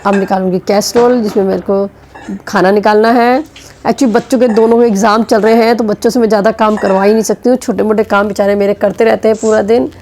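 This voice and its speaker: A woman's voice: mid-range voice, fairly even intonation, a little fast, darkish tone, slight background noise. A middle-aged woman vlogging at home with a sore throat and fever, chatting about her cooking as she works in the kitchen. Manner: chatty, explaining chores as she goes. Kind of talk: casual home vlog narration.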